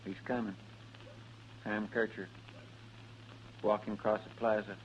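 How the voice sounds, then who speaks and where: A man's voice: on the low side, level tone, a little slow, close to an everyday voice. A man acting an anxious, folksy American deputy sidekick in an old Western radio drama, deferential and hesitant. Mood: apprehensive. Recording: dull, noisy old radio recording.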